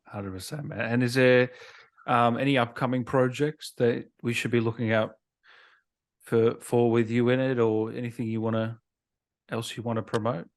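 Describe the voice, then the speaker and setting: A man's voice: medium pitch, flat delivery, normal speed. A man interviewing a guest in a casual, laid-back chat, slangy and encouraging. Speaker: interviewer, gaming show host.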